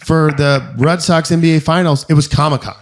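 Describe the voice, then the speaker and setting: A man's voice: on the high side, fairly quick. A man co-hosting a podcast, telling a long story in casual chat with lots of fillers and restarts. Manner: rambling, filler-heavy, animated storyteller. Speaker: podcast co-host.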